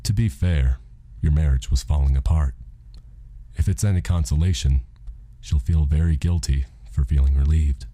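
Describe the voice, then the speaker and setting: Guy doing a calm casual god for an audiobook, super deep and husky, matter-of-fact, reassuring. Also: normal speed. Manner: deadpan, reassuring. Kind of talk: audiobook character dialogue.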